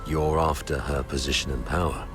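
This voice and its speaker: An actor playing a grave, composed military commander who bargains in a deliberate, measured way, in a dramatic game cutscene. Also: male voice, extremely low pitch, steady tone, music in the background.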